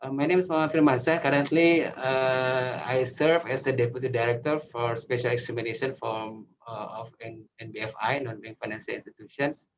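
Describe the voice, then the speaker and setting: Halting man with an Indonesian accent. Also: mid-range voice, fairly even intonation. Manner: halting, many "uh" fillers, self-deprecating. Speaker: invited speaker, financial regulator. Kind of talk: webinar presentation. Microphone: video call mic. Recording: slightly dull video call audio with faint noise.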